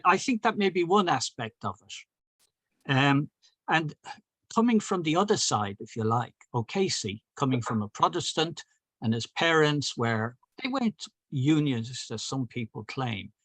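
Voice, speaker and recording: A man's voice: a little high, very animated, a little slow, fairly bright tone, dry recording, low-quality recording. A man giving an informal, thoughtful answer as a guest speaker, rephrasing as he explains.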